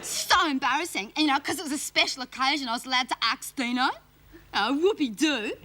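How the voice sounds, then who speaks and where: A woman's voice: sits high, fairly quick, heavy background noise, natural voice. A woman playing a whiny, dramatic teenage girl with an Australian accent, gossiping in slangy, aggrieved run-on sentences as a comic monologue. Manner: slangy, aggrieved, breathless run-on gossip, mimicking her family. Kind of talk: comic character monologue to a live audience.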